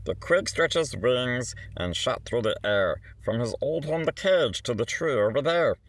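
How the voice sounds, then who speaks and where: Male storybook reader for rhyming picture book: theatrical, lively pitch swings, measured pace, rhythmic phrasing. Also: a little hiss or hum. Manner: theatrical, rhythmic delivery with stretched words and asides. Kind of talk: expressive read-aloud of a rhyming children's picture book.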